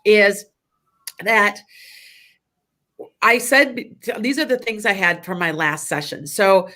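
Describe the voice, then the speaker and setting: A woman's voice: medium pitch, animated, slow pace, bright, forceful tone. Stuffy-nosed woman hosting a chatty show. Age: older. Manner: chatty, rambling, laughs often. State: stuffy nose. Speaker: psychic radio show host. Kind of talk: casual spiritual talk.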